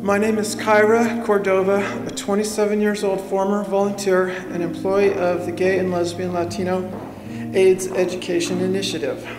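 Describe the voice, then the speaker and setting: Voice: mid-range voice, level tone, normal speed. A volunteer reading aloud solemnly at a lectern microphone. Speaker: volunteer reader. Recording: echoey church, music behind.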